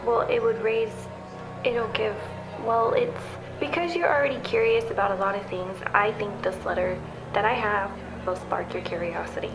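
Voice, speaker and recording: A woman's voice: mid-range voice, normal speed, lightly acted, poor audio. A woman acting as a secretive, determined young scientist in a sci-fi audio drama, terse and guarded. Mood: guarded, matter-of-fact. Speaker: supporting character. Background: background music.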